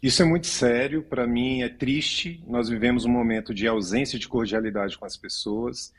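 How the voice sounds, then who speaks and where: A man from Pernambuco, a lawyer on a studio panel, warm and explanatory in a measured way. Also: medium pitch, fairly even intonation, slight background noise. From Pernambuco, Brazil. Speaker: lawyer, commentator.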